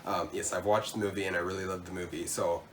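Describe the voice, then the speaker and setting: Deep-voiced man with a cold. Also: fast, far from the mic, a little hiss or hum. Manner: relaxed, stumbles over words. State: has a cold. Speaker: co-host. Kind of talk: casual book haul chat.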